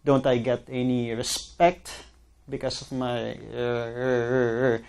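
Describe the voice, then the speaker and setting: A man performing a slow, rhythmic spoken word piece of repeated word lists. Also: mid-range voice, level tone, darkish tone, smooth. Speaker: guest.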